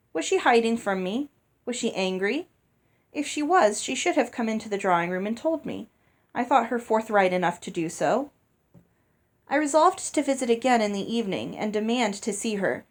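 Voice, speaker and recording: An earnest young prince as a woman reads him, puzzled and sincere, confiding in his journal. The voice sits fairly high, in a storybook reading. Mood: confused, indignant. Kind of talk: storybook journal read aloud.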